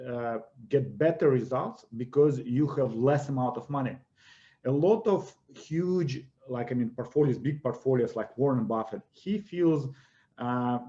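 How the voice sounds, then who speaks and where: A middle-aged man with a Russian accent lectures on investing over slides, talking his points through with frequent "okay"s. His voice is mellow and dark, and the webinar audio is slightly dull and lo-fi. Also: medium pitch. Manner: explanatory, repeats "I presume" and "okay". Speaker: investment course presenter.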